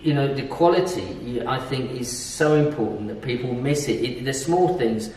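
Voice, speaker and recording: A man's voice: medium pitch, moderate pace, darkish tone. A middle-aged man chatting as an interviewer, emphatic and agreeable. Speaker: interviewer and property investor. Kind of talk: conversational interview. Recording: echoey room, distant mic, faint noise.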